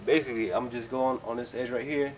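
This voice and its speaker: A man getting over a cold, sniffling and clearing his throat as he explains a how-to job outdoors in a laid-back, chatty way. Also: medium pitch, fairly even intonation, fast, poor audio. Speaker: DIY video maker. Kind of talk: casual how-to tutorial. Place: outdoors beside a car in sunshine. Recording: outdoor ambient noise.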